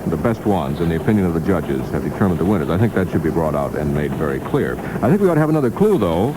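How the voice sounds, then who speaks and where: A man's voice: low voice, very animated, quick pace. An American man delivering light radio features and banter in a wry manner. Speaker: radio feature reporter and co-host. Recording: noisy vintage 1960s radio aircheck.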